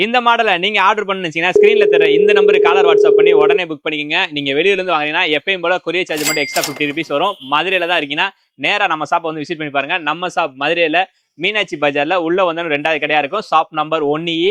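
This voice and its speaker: A man gives an energetic promotional product pitch as a shop presenter. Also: rapid-fire, noisy background.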